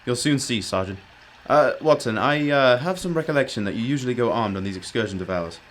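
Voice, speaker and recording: A man's voice: medium pitch, expressive, a little fast, natural voice. A man playing a brilliant, commanding detective in a radio drama, explaining emphatically with old-fashioned courtesy. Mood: brisk, serious. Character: brilliant, commanding, self-critical male detective.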